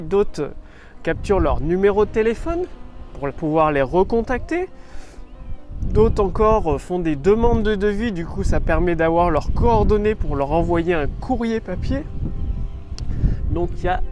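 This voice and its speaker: A man's voice: high voice, a little mellow. A man with a cold giving business coaching in a casual vlog, standing outdoors on a rock on an island, repeating his points emphatically. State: has a cold.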